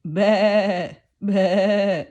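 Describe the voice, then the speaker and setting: A woman reading a picture book aloud to young children in an expressive way, putting on animal sounds. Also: mid-range voice, very slow.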